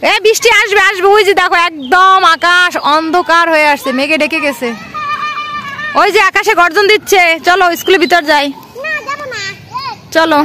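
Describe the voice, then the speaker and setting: A woman's voice: very high-pitched, moderate pace, pauses often. A woman with a Bangladeshi accent giving casual vlog commentary outdoors and chatting to children as she goes. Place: outdoors on open grassy ground by a school. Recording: outdoor ambient noise, faint.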